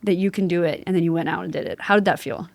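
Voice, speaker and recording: A woman's voice: lowish voice, very fast, vocal fry, no echo. Supportive woman interviewer, dry clean sound. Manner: supportive, affirming. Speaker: podcast host, interviewer. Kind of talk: conversational interview.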